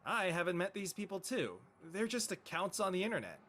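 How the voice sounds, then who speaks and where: A man playing an exasperated, reluctant high-school boy in an amateur script reading, with a whiny, protesting manner. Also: sing-song, a little fast, a bit bright, heavily acted. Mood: fed up. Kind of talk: amateur audio drama table read.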